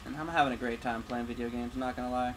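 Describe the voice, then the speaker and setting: Male gaming YouTuber, medium pitch, informal off-the-cuff commentary, slangy, game sounds in background. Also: steady tone, very fast, noisy background. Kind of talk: casual let's-play commentary. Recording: game sounds clearly behind voice. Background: video game combat and ambience.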